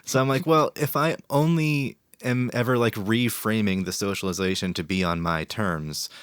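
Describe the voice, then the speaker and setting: A man's voice: on the low side, normal speed, smooth, no echo, studio quality. A man in a casual podcast panel, reflecting aloud on socializing and drinking, with frequent restarts. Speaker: podcast guest.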